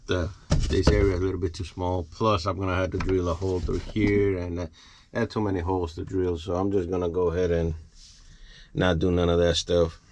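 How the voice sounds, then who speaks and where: Deep voiced guy doing a casual diy truck vlog, some noise and clicking behind him. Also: darkish tone. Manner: informal, talking to viewers while working. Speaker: trucker vlogger. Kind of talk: casual hands-on repair walkthrough. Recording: clear background noise, clicks.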